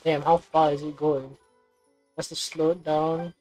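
A woman's voice: sits low, little pitch movement, takes it slowly, dark tone, soft background music. A woman streamer giving casual gameplay commentary in short, offhand remarks. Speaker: game streamer.

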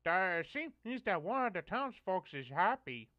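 Male actor as servile cartoon henchman, notably high voice, formal, muffled lo-fi recording. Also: sing-song, a little slow, no echo. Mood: dutiful. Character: deferential cartoon underling reporting to his master. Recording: dull lo-fi audio, faint music.

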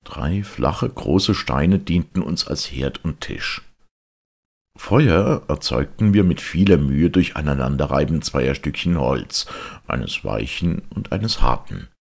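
A man reading a literary audiobook as a narrator, with measured, dramatic storytelling delivery. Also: extremely low pitch, expressive, gravelly, breathy edge. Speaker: audiobook reader.

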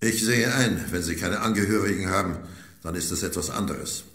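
An actor playing a senior commander in an audio drama, gravelly, measured and polite, with concerned authority. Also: male voice, deep voice, fairly bright tone, roomy sound. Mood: concerned, firm. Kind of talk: German audio drama acting.

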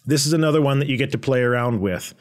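A man presenting a software tutorial in a conversational, explanatory way with a medium-pitched voice. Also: fast talker. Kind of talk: informal software tutorial narration.

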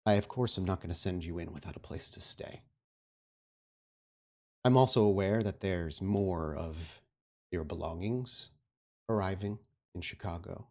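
A man playing a stern, calculating vampire prince in a tabletop game, speaking formally and deliberately. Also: sits low, a little mellow. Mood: measured authority. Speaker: storyteller playing a character.

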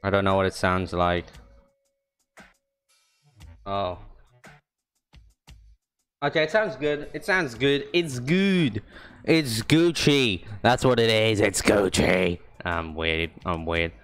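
Young male streamer, lowish voice, rambling self-mocking chatter, faint music behind. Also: animated, extremely slow, long pauses, fairly bright tone. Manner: rambling, self-mocking, yelps high when fighting. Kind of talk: casual gaming stream commentary.

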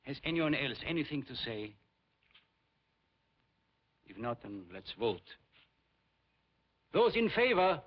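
A man playing the sober chairman of an underground resistance meeting in a 1950s film drama, formal and deliberate. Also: mid-range voice, sing-song. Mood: solemn. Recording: muffled vintage film soundtrack.

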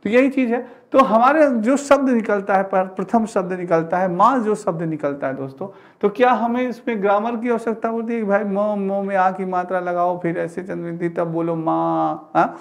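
A man's voice: high voice, normal speed, vocal fry, hardly any pauses. A man in his thirties giving an explanatory classroom lecture, repeating phrases and asking rhetorical questions. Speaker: teacher giving an exam-prep class. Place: indoor studio with digital teaching board.

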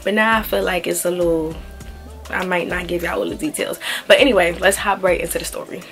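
A woman's voice: lowish voice, fairly even intonation, fairly quick, vocal fry, a bit bright, faint music behind. A woman in her early twenties telling a story casually and informally, sounding a little tired and nasal from a head cold. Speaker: vlog host. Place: small indoor room with clothing rack.

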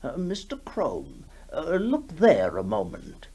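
A man playing a troubled, earnest country gentleman in a radio ghost story, hesitant and musing. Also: mid-range voice, huge pitch swings, slow pace, breathy edge, exaggerated voice. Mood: startled, puzzled. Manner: hesitant, musing, with ums and sighs.

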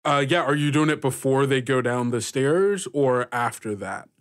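A man narrating a tabletop game as game master, in a halting, improvised way. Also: medium pitch, dry recording, studio quality.

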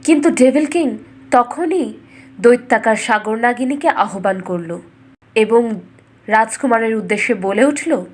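A woman storyteller giving a scripted, dramatic fairytale narration in measured, expressive phrases. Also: a little high.

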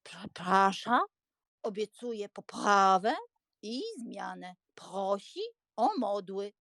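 An actress playing a flustered, pious, sentimental elderly spinster aunt in an audiobook drama, plaintive and fretful. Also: female voice, mid-range voice, takes it slowly, a bit bright, no echo, clean studio sound. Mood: pleading, indignant. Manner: plaintive, fretful, easily shocked.

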